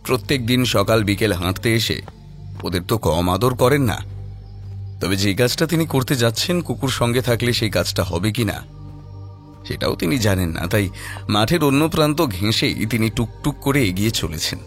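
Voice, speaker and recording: Male storyteller for horror narration, expressive and lively in pitch, faint music underneath. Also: normal speed.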